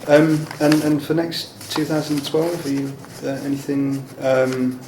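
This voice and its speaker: A man interviewing someone casually, with rambling, halting questions. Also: on the high side, level tone. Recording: echoey room, clear background noise.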